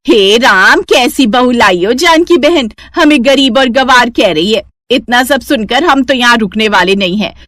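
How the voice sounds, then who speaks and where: A woman playing an indignant female wedding relative, exclaiming in an affronted way in an animated family story. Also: fairly high pitch, normal speed, few pauses, no echo, clean studio sound, pitched above the performer's own voice.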